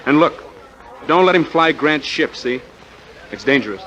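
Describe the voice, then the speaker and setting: An actor playing a gruff, blunt, sardonic mechanic who is a protective sidekick in a 1940s radio drama. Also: male voice, a little high, lively intonation, moderate pace, a bit bright, highs cut off. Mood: blunt warning.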